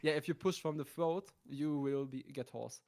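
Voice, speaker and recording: Quiet young-sounding man, hushed voice. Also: a little high, normal speed, a crowd in the background. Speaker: guest on a stream. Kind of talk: casual late-night stream chat. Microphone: home mic, talking quietly at night.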